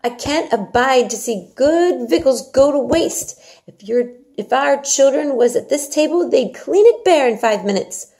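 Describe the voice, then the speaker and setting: Woman acting a hearty high-pitched young country housemaid. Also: lively intonation, unhurried, warm tone. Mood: indignant. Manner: blunt, emphatic, exclaiming. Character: hearty, outspoken young Yorkshire housemaid with motherly scolding. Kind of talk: storybook dialogue read aloud.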